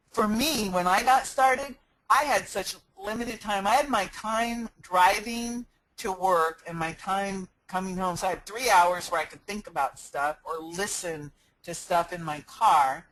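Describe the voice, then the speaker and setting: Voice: mid-range voice, fairly bright tone, rough recording. An online business coach talking casually in a live question-and-answer session, earnest and personal, rambling with frequent restarts and fillers.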